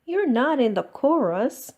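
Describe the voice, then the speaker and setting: A woman's voice: sits high, very animated, measured pace, a little mellow, voice pushed up, full character voice. A woman voicing a bossy, indignant young schoolchild in a children's storybook.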